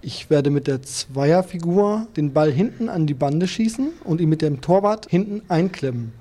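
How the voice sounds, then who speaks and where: A man's voice: on the high side, animated, normal speed, dark tone. A man in his thirties from Hannover, a table football player, explaining techniques and rules precisely, step by step. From Germany, Hannover. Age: 30s. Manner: precise, explanatory, walks through trick shots step by step. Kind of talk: interview statements.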